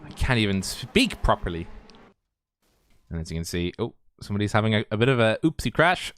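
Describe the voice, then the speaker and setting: A man's voice: lowish voice, big pitch swings, fairly quick, no room sound, studio quality. Jokey guy co-commenting a sim race, voice all over the place, chatting w the viewers. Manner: jokey, chatty, talks to the chat. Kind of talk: casual live race commentary.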